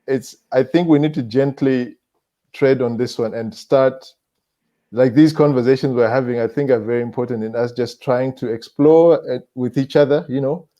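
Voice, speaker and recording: A man with a Zimbabwean accent speaking as a panelist in a reflective discussion, measured and halting, repeating phrases for emphasis. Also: mid-range voice, dark tone, muffled recording.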